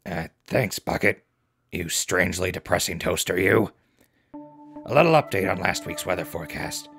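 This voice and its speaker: An actor playing a folksy, upbeat radio host and newsreader in a post-apocalyptic settlement drama, chatty and theatrical. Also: male voice, deep voice, expressive, moderate pace, gravelly, fairly bright tone, husky, soft background music, heavily acted. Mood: wry, affectionate. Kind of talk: radio drama news broadcast.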